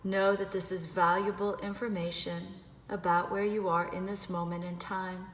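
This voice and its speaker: A woman's voice: fairly low pitch, level tone. Woman guiding meditation in an echoey room. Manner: gentle, unhurried instruction with pauses. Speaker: meditation guide. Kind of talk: guided meditation. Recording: echoey reverberant room with background noise.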